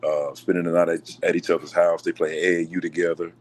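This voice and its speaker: Male coach, very low deep voice, measured and courteous, light background noise. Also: little pitch movement. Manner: measured, polite, says "yes, sir". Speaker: basketball coach. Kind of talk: casual radio show interview.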